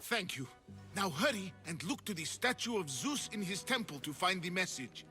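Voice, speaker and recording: Game role: effusive sculptor, high bright voice, swooping delivery. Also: male voice, sing-song, moderate pace, soft background music, heavily acted. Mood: secretive, earnest. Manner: theatrical, effusive, flowery. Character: excitable, flowery male artist of ancient Greece. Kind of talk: video game cutscene acting.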